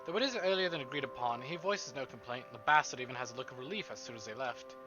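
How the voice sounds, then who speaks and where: A man streaming a visual novel, moving between casual chat and expressive, theatrical story reading. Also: mid-range voice, fast. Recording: dull band-limited stream, music behind.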